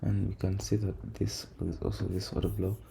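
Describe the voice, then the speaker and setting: Male trader for casual screen-share commentary: deep, flat delivery, low energy, hesitant, with clear background noise and keyboard sounds. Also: normal speed. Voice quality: low, creaky voice. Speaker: trader recording a backtesting session. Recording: noisy room, keyboard and typing sounds.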